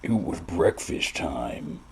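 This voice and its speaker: A man playing a world-weary private detective, speaking in a brooding, deadpan, sardonic noir style. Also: deep voice, slow, creaky, very noisy, heavily acted. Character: gloomy, sardonic hard-boiled male private detective. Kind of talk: hard-boiled noir detective narration and dialogue.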